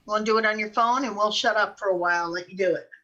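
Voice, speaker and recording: A woman's voice: medium pitch, rapid-fire, a bit bright, distant mic, dull audio. A woman chatting casually as a show host. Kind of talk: casual chat.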